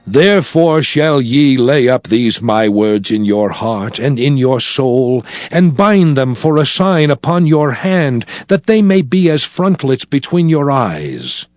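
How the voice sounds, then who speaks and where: A man playing a solemn prophet exhorting his people in a measured, declamatory scripture drama. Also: mid-range voice, sing-song, darkish tone, no echo, studio quality. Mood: solemn exhortation. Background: music.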